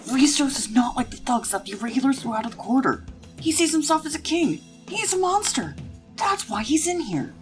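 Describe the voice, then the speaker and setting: A woman acts as a teenage street brawler locked in prison. The voice is high and very animated, hot-tempered yet wary, urgently cautioning in a fantasy audio drama with music underneath. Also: fairly quick.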